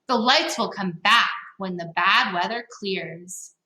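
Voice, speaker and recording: A woman's voice: medium pitch, slow, sharp tone. A woman voicing a soothing, practical mother in a children's storybook, reassuring and full of suggestions. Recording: roomy, echoing sound.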